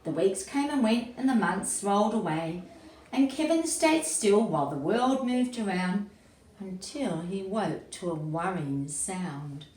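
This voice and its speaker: A woman's voice: medium pitch, lively intonation, a little slow, a little mellow. A woman reading a picture book aloud to young children, expressively, with simple explanatory asides. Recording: roomy, echoey, distant mic with faint noise.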